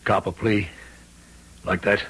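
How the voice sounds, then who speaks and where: An actor playing a gruff, shady restaurant owner in an old-time radio mystery, slangy and defensive. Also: male voice, on the low side, level tone, slow, a bit bright, full character voice.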